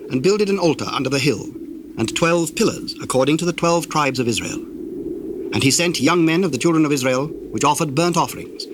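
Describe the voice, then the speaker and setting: Male narrator, fairly high voice, formal, measured, scripture storytelling, very noisy tape. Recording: very noisy old cassette tape.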